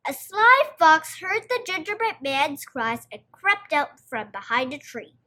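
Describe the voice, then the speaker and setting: A woman reading a children's story aloud with expressive scripted narration. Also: sits high, measured pace.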